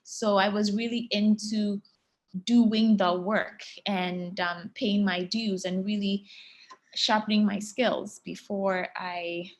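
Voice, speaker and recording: A woman's voice: medium pitch, steady tone, slow pace. Thoughtful woman from Ghana, many fillers. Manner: thoughtful, with frequent fillers and soft laughs. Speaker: guest, fashion stylist. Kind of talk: casual interview answers.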